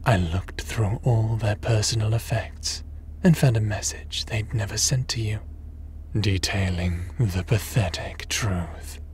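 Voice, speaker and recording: Noise sits behind a low male voice: a man acting as a cold, power-hungry crime lord from a sci-fi audio drama. He is smug and theatrical, drawing out every measured threat at a very slow pace. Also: a little mellow, lightly acted. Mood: smug, gloating.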